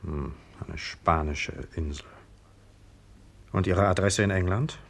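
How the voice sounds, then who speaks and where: Stern, insistent police sergeant. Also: male voice, low voice, slow, gravelly, full character voice. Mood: probing, brisk. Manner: commanding, clipped, insistent. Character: stern, suspicious police sergeant interrogating suspects. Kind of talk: 1950s radio drama acting.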